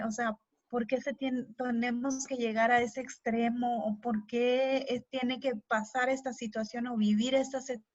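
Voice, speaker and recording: A Mexican woman whose first language is Tének, speaking earnestly and haltingly as an invited guest in an online interview. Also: on the high side, dry recording, muffled recording. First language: Tének (Huastec). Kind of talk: earnest interview answers in a live online talk.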